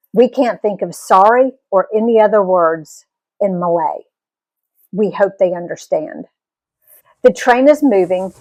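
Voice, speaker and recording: A woman reading a story aloud as an expressive, animated narrator. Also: mid-range voice, low-quality recording. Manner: animated storytelling with acted dialogue.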